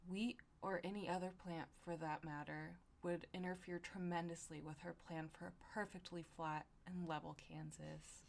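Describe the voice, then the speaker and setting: A woman's voice: fairly low pitch, level tone, moderate pace, creaky, hardly any pauses, a little hiss or hum. A young woman hosting a comedy podcast, reading fan fiction aloud with slangy, casual asides. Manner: slangy, profane, giggly asides. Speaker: podcast host.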